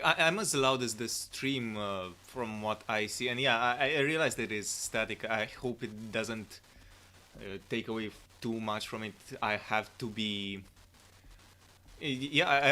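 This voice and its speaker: A man doing casual live-stream commentary, hesitant, with many fillers and restarts, on a cheap low-volume mic. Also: unhurried, pushed and bright. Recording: faint static hiss.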